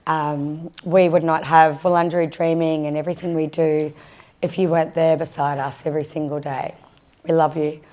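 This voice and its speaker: A woman with a husky voice, nervous as she gives a welcome and acknowledgements at a conference, with many fillers. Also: deep voice, flat delivery, moderate pace, dark tone. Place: conference session room.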